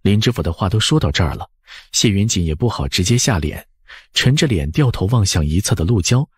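A man narrates an audiobook story expressively. His voice is low and husky with lively swings in pitch. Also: a little fast, no echo, studio quality.

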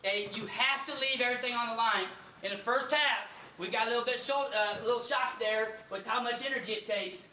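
A man coaching a high school basketball team, giving an emphatic post-game pep talk in a locker room. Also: fairly quick, hardly any pauses. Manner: emphatic, rallying, addresses players as guys. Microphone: camera mic a few meters away. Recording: roomy, distant camcorder, loud noise.

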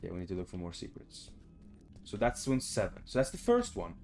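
A man's voice: sits low, wildly swooping pitch, fairly quick, gravelly, darkish tone, soft background music. A young adult man giving casual, muttered video game commentary indoors, frustrated and swearing freely. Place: indoor room at home.

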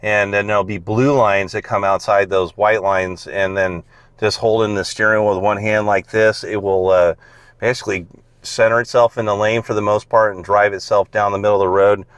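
Smooth-voiced man touring a car. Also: on the low side, flat delivery, moderate pace, a bit bright, dry recording. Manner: explains features step by step with frequent fillers. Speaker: car salesman. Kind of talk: casual car walkaround. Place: in and around a car outdoors on a dealer lot.

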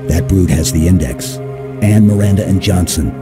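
A man's voice: sits low, normal speed, lightly acted. A man playing a stoic, terse supersoldier in a video game. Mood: terse resolve. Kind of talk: video game cutscene acting. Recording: music behind the voice.

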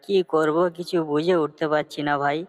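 A man's voice: a little high, fairly even intonation, normal speed, no room sound, close to an everyday voice. A man playing a humble woodcutter father in a cartoon story, earnest and plaintive. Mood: worried, helpless.

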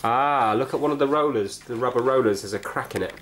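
Guy casually talking thru a fix step by step, kinda thinking out loud, medium pitch, bit of noise and clicking behind him. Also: brisk. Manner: thinks aloud, tentative, explains each step. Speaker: host of a repair video. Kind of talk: casual hands-on narration while working. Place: indoors at a cluttered workbench. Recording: clear background noise, clicks from handling.

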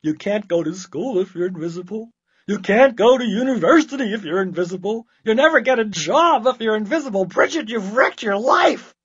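A man voicing a frantic, loud mother of a young girl, yelling in a shrill, panicky way. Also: animated, fairly quick, slightly rough, dull audio.

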